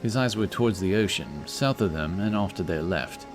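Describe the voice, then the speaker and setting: A man's voice: deep voice, animated, normal speed, soft background music. A man reading a scripted fantasy story as an audiobook narrator.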